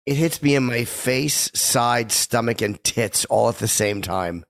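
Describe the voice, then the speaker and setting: A man with a mid-range, gravelly voice that has gone a little scratchy. He co-hosts a podcast in relaxed chat and makes blunt, profane jokes at his own expense. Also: mid-range voice, a little slow. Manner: self-deprecating, blunt and profane. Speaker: podcast co-host. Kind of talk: casual chat.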